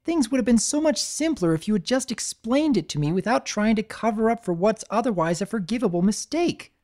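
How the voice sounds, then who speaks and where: Woman reader playing a stern pony mare scolding a child, raised and lively. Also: a little high, a little fast, voice pushed up, lightly acted. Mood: lecturing. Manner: lecturing, indignant. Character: stern young female pony scholar, scolding.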